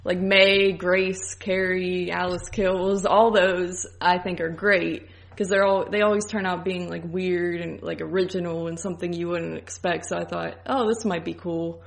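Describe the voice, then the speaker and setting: A woman's voice: on the low side, little pitch movement, unhurried, vocal fry, hardly any pauses. A woman co-hosting a podcast, chatting casually in an informal way peppered with "like". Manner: informal, hedging with frequent "like". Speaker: podcast co-host. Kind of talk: casual chat.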